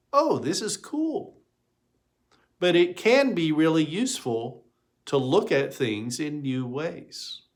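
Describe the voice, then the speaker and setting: A man voicing a gentle, patient, reassuring church minister in a storybook reading, with a questioning manner. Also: on the high side.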